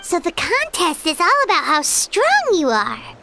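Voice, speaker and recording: A woman voicing a tiny, excitable fairy-like girl in a video game, squeaky, childlike and inquisitive. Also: unhurried, vocal fry, slight background noise, full character voice. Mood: puzzled, curious. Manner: exclamatory, inquisitive, speaks of self in third person. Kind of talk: video game dub acting. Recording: music behind the voice.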